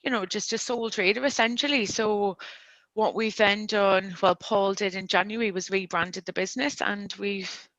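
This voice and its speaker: A woman with a Geordie accent talks through her career as a podcast guest, friendly and unhurried with the odd "um". Her tone is bright and forceful, recorded dry and close to the mic. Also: medium pitch, fairly even intonation, dry recording, poor audio. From Newcastle, England. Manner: friendly, explains steadily with fillers. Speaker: recruitment consultant guest. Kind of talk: casual podcast interview.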